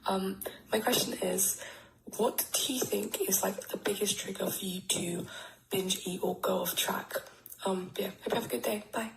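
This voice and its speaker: A woman's voice: medium pitch, fairly even intonation, normal speed, background noise. A woman who is sick asks a question in a casual fan voice memo.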